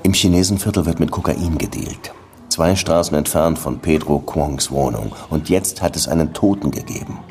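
Very deep-voiced, dry police detective. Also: male voice, normal speed, creaky, a little mellow, a little hiss or hum. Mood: insistent. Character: world-weary male Cuban police detective, dogged but courteous. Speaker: lead detective and first-person narrator. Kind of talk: radio drama dialogue and narration.

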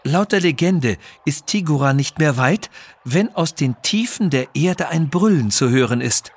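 A man's voice: a little high, expressive, unhurried, husky, voice pushed up, heavily acted. A man voicing an earnest, pleading young Indian jungle guide in a children's audio story.

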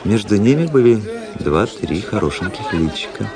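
Low-voiced, ironic young officer. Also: male voice, big pitch swings, moderate pace, a loud crowd. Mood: detached, observant. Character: cool, ironic young Russian officer narrating his memoirs. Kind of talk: radio drama narration and dialogue. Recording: radio play with prominent music behind. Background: music.

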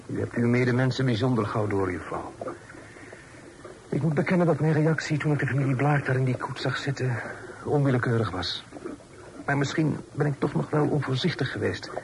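A man playing a well-bred, earnest young gentleman in a period radio drama, formal and prone to stammering when flustered. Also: mid-range voice, brisk, vocal fry, lightly acted. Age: young adult. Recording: noisy old radio drama recording.

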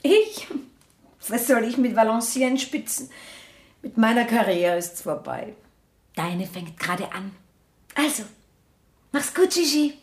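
A woman's voice: on the high side, animated, unhurried, long pauses. An actress playing a breezy, resigned middle-aged mother who sings in opera, theatrical in manner, in a 1970s radio play. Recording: echoey room, prominent music behind.

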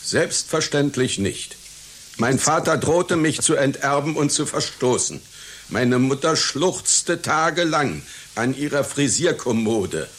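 An actor playing a pompous, proud clown with a doctorate, speaking in deliberate, formal diction in a satirical radio play. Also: male voice, medium pitch, expressive, fairly bright tone, breathy edge, exaggerated voice. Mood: proud, insistent. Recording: hissy radio play recording.

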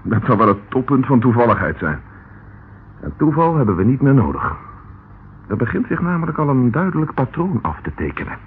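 An actor playing a determined, persistent police inspector in a Dutch radio detective drama, questioning formally and insistently. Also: male voice, medium pitch, very animated, a little fast. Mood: urgent, reasoning. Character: determined male Scotland Yard police inspector, brisk and persistent. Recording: tinny, band-limited, noisy old radio recording.

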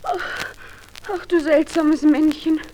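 A timid young miller's daughter, high-voiced and slow, speaks in meek, plaintive, halting phrases. It is a fairy-tale radio play on a noisy, crackly recording. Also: female voice, flat delivery, mellow. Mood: despairing.

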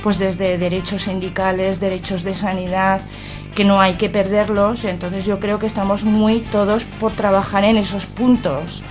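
A woman's voice: mid-range voice, little pitch movement, moderate pace, over music. A woman with an accent from Spain, an activist guest in a radio interview, speaking conversationally in hesitant, piecemeal phrases. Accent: Spanish from Spain.

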